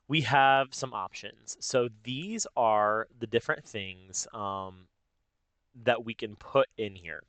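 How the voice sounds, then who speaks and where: A man narrating a casual step-by-step software tutorial, explaining informally and thinking aloud as he goes. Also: medium pitch, clear, resonant tone, no echo. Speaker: tutorial host.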